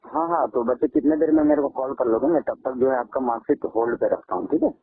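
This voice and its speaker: A man's voice: medium pitch, fast talker, vocal fry, slightly rough, dry recording. A man on a recorded phone call, talking persuasively in a coaxing, avuncular sales manner. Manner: coaxing, often addresses listener as child. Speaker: phone caller selling exam results. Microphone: phone line.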